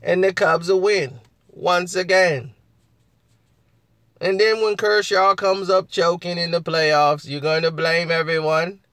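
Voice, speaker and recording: A man with a husky voice hosting a casual live chat, laughing often and answering viewers. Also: sits high, fairly even intonation, takes it slowly, fairly bright tone, no room sound.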